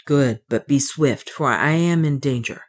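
A woman reader voices a captive gentleman adventurer in a storybook, wry and commanding. He speaks low, in terse, urgent, old-fashioned instructions. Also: a little slow.

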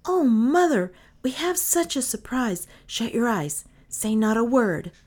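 A woman voicing two eager young children in a storybook reading, high and exclamatory. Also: big pitch swings, a little slow, darkish tone. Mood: excited.

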